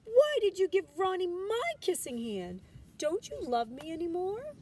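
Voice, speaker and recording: Woman voicing a plaintive high-pitched little raccoon boy. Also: wildly swooping pitch, darkish tone, full character voice. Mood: sad, hurt. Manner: plaintive, drawn-out childlike pleading. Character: young raccoon boy, jealous, tearful, needy big brother. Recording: distant mic with background noise.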